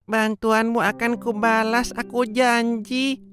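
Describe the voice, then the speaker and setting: A woman's voice: on the high side, level tone, takes it slowly, fairly bright tone. A woman voicing a small, timid male mouse who pleads and stammers in a children's storybook tale. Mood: pleading.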